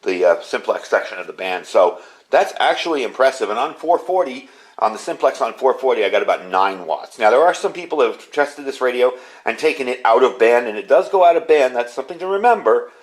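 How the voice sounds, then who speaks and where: A man's voice: medium pitch, lively intonation, fairly quick. A man giving a casual product review and demonstration, explaining things informally and with enthusiasm. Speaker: ham radio product reviewer.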